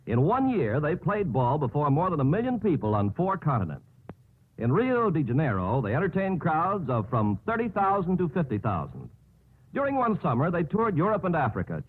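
A man's voice: a little high, huge pitch swings, normal speed, phone-quality sound. An American man reading a script as a 1950s radio announcer. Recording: thin, rough 1950s radio recording.

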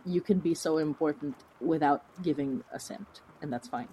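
A woman's voice: low-pitched, moderate pace, mellow, a little hiss or hum, poor audio. A woman chatting casually as an interview guest, talking in an effusive, emphatic and gushing way. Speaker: podcast creator, interview guest. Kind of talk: casual conversational interview chat.

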